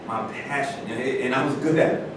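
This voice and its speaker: Actor as a proud ex-footballer, echoey and halting. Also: male voice, steady tone, lightly acted. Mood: earnest. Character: proud, defensive ex-football player turned movie star. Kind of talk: staged play reading. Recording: distant echoey stage recording, rumbling noise.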